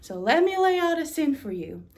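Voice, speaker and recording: A woman with a Russian accent gives a prepared talk about her own journey. Her voice sits a little high for a woman, and she speaks earnestly. When she lists things, she stresses each item in a steady rhythm. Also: normal speed. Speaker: university administrator. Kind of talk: prepared personal story talk.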